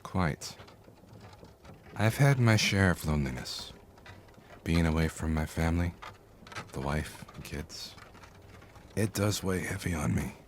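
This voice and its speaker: Guy playing a humble old-road cart driver, really low voice, polite and thoughtful, fantasy audio drama, some background noise. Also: expressive, measured pace, long pauses. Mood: warm, wistful. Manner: formal, deferential, reflective. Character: weathered male cart driver, humble, courteous, philosophical.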